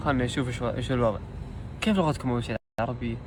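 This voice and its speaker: A man's voice: mid-range voice, rapid-fire, background noise. A Saudi man casually quizzing his friends on a spelling point, in a playful tone. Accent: Saudi Arabic. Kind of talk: casual chat among friends.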